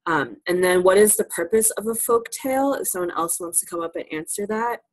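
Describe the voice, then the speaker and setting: A woman's voice: mid-range voice, lively intonation, a little fast, low-quality recording. A woman presenting an informal, interactive lesson to a class, encouraging and affirming as she teaches. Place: live virtual presentation.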